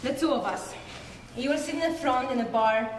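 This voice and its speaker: A woman's voice: sits high, fairly quick, noisy background, natural voice. A woman acting a reassuring refugee who comforts her companion in a live stage drama. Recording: distant, very echoey theatre recording.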